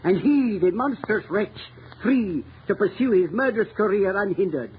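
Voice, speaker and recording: An actor playing a determined, obsessive chief of police in an emphatic, theatrical 1930s radio melodrama style. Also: male voice, high voice, lively intonation, normal speed, phone-quality sound. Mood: vengeful resolve. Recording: scratchy old radio recording, thin and noisy.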